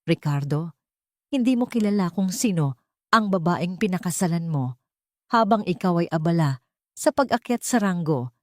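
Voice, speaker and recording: A woman's voice: lowish voice, animated, normal speed, long pauses, darkish tone, no echo, close to an everyday voice. A woman voicing a stern, scornful male army general in an audio story, grave and commanding. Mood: scornful, accusing.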